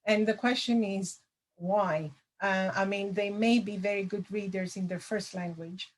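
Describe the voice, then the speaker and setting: A middle-aged woman, a lecturer answering audience questions over a video call, thoughtful with many pauses and fillers. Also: mid-range voice, steady tone, slight background noise. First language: Greek. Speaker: language assessment lecturer.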